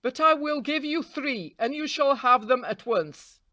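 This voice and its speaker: A crafty, bossy raven out of a fairytale , voiced by a woman. It bargains emphatically in a voice pushed up in pitch, with a dry sound. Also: animated, unhurried, bright, forceful tone, no echo, full character voice. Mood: haggling.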